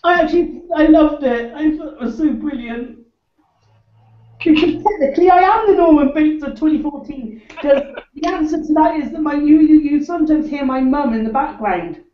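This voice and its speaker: A man's voice: very high-pitched, moderate pace. A man of about thirty, chatting casually on an online panel. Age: around 30. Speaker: online chat show panellist. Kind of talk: casual chat. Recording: dull roomy noisy online call with clicks.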